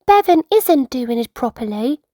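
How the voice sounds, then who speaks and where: A woman's voice: sits high, very animated, normal speed, warm tone, no echo, clean studio sound, pitched above the performer's own voice. A woman voicing an earnest, indignant young girl pupil in a storybook, whiny and protesting. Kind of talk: children's storybook character voice.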